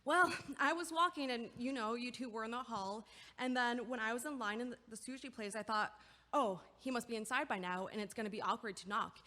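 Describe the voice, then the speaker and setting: A woman's voice: a little high, brisk, bright, forceful tone. A woman playing a nervous, over-considerate young woman in an audio play, rambling and correcting herself as she over-explains. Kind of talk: audio play acting, comedic monologue. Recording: echoey hallway-like long reverb.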